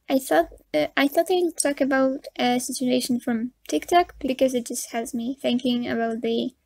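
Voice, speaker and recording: A woman's voice: fairly high pitch, a little mellow, dry recording. A young woman with a Polish accent giving frank, chatty commentary over a drawing video, with nervous laughs and many fillers. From Poland. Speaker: commentary YouTuber.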